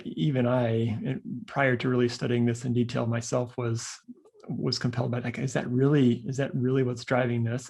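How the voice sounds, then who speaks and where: A man hosting an interview, encouraging his guest and asking questions in a halting way with fillers. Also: mid-range voice, creaky, darkish tone, slightly rough. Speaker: host. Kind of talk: casual interview conversation.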